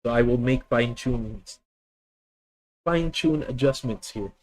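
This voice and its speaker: Filipino guy streaming a card game, mid pitch, slow and methodical, talks through every move and fixes his own words. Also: a little mellow. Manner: thinking aloud, methodical, self-correcting. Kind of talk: live deck-test commentary.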